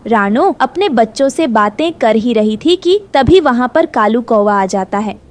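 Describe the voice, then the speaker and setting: High-pitched woman narrating a children's story. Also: animated, a little slow, darkish tone, a little hiss or hum.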